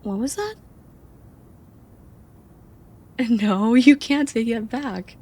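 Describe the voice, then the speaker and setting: A young woman playing an affectionate, teasing friend in an intimate ASMR roleplay, speaking softly and expressively. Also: on the high side, unhurried, slightly rough. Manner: soft, playful, expressive.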